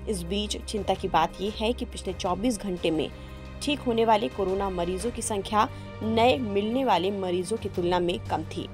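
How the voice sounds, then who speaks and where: A woman reading a scripted news bulletin in a formal manner. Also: mid-range voice. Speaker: news presenter. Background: background music.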